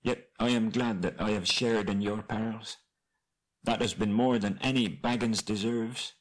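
Man voicing a plaintive little hobbit, pitched up. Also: steady tone, a little slow, poor audio. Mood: sorrowful, earnest. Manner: plaintive, polite, old-fashioned phrasing. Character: small, good-natured hobbit adventurer, rueful and earnest.

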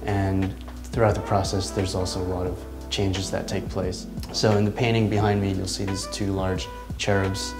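A man in his thirties, an artist, talking reflectively in a seated interview in his studio, pausing often with ums and restarts. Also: deep voice, little pitch movement, music in the background. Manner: thoughtful, halting, many ums and restarts. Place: large indoor art studio. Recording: echoey studio room.

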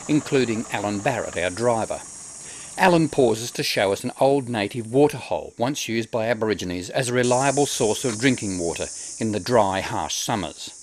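A man's voice: medium pitch, slight background noise. A man narrating a travel documentary in a scripted way, with wry asides. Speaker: travel show host and narrator.

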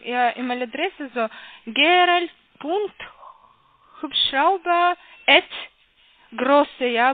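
A woman with a foreign accent making a halting sales phone call, hesitant and careful as she spells things out. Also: high-pitched, dry recording. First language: not German. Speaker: call centre caller. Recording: muffled, narrow phone line.

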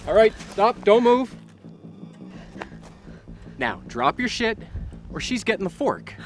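A man's voice: high-pitched, big pitch swings, slow, soft background music, exaggerated voice. A man playing a menacing male wasteland bandit who barks threats and orders in an amateur short film. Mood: threatening. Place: outdoors in dry scrubland.

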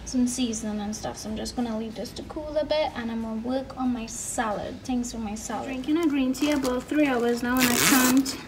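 A young Trinidadian woman with a fairly high voice talks casually through her cooking, as a vlogger does. She is recorded a little away from the microphone in a home kitchen, with clear noise behind her. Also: fairly even intonation, fairly quick, few pauses. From Trinidad and Tobago. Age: 20s. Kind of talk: casual cooking vlog narration. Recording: noisy kitchen, distant microphone.

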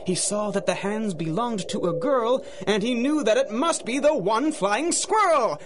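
A man's voice: high voice, lively intonation, a little slow, background noise. A man giving theatrical, dramatic narration in rhyming storybook verse for a radio drama.

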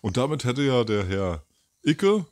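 A man's voice: mid-range voice, very animated, unhurried, no room sound. Middle-aged guy rambling outside in his garden, lots of ums, dry close sound. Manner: rambling, many fillers and self-corrections. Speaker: host of a commentary show. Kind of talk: casual solo commentary. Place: outdoors by a garden fence. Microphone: furry windscreen mic near camera.

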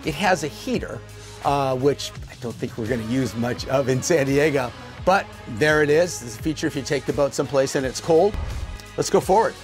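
A man's voice: medium pitch, normal speed, faint music behind. Enthusiastic man touring a sailboat. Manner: enthusiastic, talks while pointing and moving. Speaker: boat tour host. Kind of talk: casual walkthrough tour. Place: aboard a sailboat in a marina, on deck and below.

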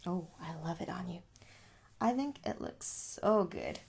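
A young woman speaking softly in an ASMR shop roleplay, coaxing the listener with little questions in a husky voice. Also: fairly low pitch, animated, a little slow, slight background noise. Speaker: ASMR performer playing a makeup shop seller. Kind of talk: soft-spoken ASMR roleplay. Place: small room at home.

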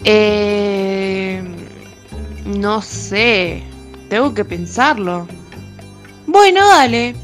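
A woman's voice: mid-range voice, lively intonation, extremely slow, long pauses, faint music behind. A woman playing a hesitant fairy-tale princess in a radio play. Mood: deliberating. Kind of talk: radio theatre acting.

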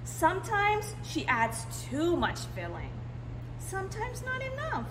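Female storytime reader, unhurried and expressive, for a children's picture book; roomy recording with noticeable background noise. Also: extremely high pitch. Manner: expressive, drawn-out emphasis on key words. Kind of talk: animated picture-book read-aloud for young children. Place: indoors in a library, seated.

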